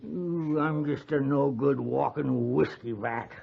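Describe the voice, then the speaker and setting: An actor playing a wheedling, boozy frontier husband with a rambling, stammering American drawl, in 1950s radio Western style. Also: male voice, mid-range voice, fairly even intonation, takes it slowly, gravelly, breathy edge, heavily acted. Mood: maudlin self-pity. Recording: muffled 1950s radio recording.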